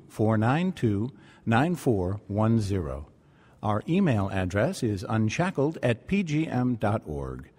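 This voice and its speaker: Male religious radio announcer, earnest and deliberate, lively intonation, music underneath. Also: fairly low pitch. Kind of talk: scripted radio ministry announcement and scripture reading.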